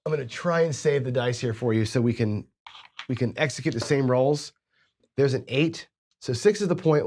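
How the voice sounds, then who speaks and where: A man's voice: mid-range voice, a little hiss or hum. Man with a stuffy cold voice. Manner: conversational, frequent tag questions "right?". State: has a cold, stuffy nose, weak voice. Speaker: host of a casino gambling channel. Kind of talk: explanatory strategy tutorial.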